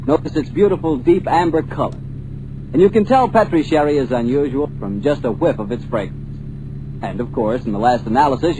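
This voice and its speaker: A man announcing on an old radio show and pitching the sponsor's product in a smooth, persuasive way. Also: darkish tone, poor audio. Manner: smooth, persuasive salesman delivery. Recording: vintage radio broadcast with faint hiss.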